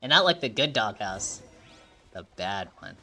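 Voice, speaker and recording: A young woman streamer reacts to a game with loud, jokey, swearing comments. Her voice is low but bright and edgy, and it swings widely in pitch. A faint hum sits behind her. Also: big pitch swings, unhurried, faint music behind.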